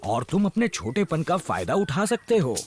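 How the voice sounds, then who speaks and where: Animated cartoon bear mentor. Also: male voice, fairly high pitch, normal speed, faint music behind. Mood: coaching. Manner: advising, expressive. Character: big friendly male bear, fussy caring teacher.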